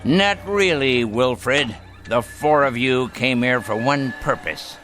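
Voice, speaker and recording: A man's voice: mid-range voice, a bit bright, a little hiss or hum. A man acting in a radio drama as a bitter, domineering, dying rich old grandfather who talks in a theatrical, mocking way. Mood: bitter, commanding. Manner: theatrical, mocking, drawn-out delivery.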